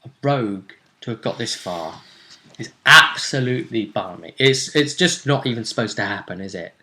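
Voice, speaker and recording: A man's voice: mid-range voice, lively intonation, moderate pace, pushed and bright. A man, a game master, casually recapping a tabletop role-playing match in a rambling, storytelling way. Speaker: game master and show host.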